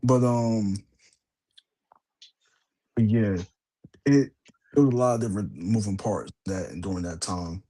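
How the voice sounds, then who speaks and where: A man's voice: lowish voice, a little slow, pauses often, mellow. A man hosting a wrestling podcast in casual chat, rambling with lots of fillers and restarts. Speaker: podcast host.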